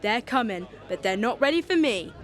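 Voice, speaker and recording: A woman's voice: a little high, expressive, normal speed, some chatter behind, close to an everyday voice. Young woman as a defiant conspiracy believer. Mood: defiant, paranoid. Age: young adult. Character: suspicious, defiant member of the public, conspiracy believer. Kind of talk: vox pop acting in youth drama.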